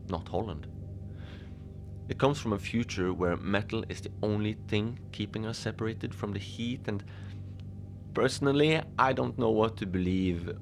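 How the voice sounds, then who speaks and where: A man playing an easygoing, whimsical lone Antarctic station manager, rambling in a conversational, deadpan audio-fiction monologue. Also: lowish voice, gravelly, slight background noise. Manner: musing, conversational, deadpan about absurd things. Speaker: fiction podcast narrator, lone facility manager at a polar station. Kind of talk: first-person audio fiction monologue, rambling radio log.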